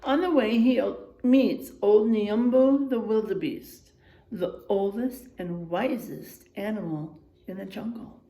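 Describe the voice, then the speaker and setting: A woman reading a picture book aloud to children in a teacherly, expressive way, checking in with her listeners as she goes. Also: mid-range voice, slow pace, roomy sound, rough recording. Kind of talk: animated children's read-aloud with asides to listeners.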